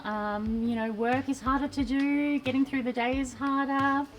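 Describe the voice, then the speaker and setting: A woman's voice: high voice, steady tone, moderate pace. A woman in her thirties giving chatty, casual interview answers with frequent giggles. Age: 30s. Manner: chatty, giggles between phrases.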